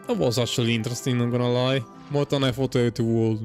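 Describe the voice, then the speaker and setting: A man's voice: medium pitch, fairly even intonation, fast talker, darkish tone, soft background music. A man giving casual gameplay commentary as a game streamer.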